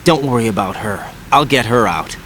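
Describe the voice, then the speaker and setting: A man's voice: mid-range voice, expressive, moderate pace, fairly bright tone, slight background noise, close to an everyday voice, low-quality recording. An actor playing a questioning, intense young male agent who is frustrated but dutiful, in a dramatic video game scene. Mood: questioning, determined.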